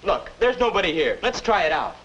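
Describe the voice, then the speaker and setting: A man's voice: sits high, big pitch swings, fairly quick, a bit bright, slight background noise. A young male actor playing a confident American 21-year-old, patiently persuading and advising in a 1950s educational film. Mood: instructive, persuasive. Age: young adult. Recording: dull 1950s film soundtrack.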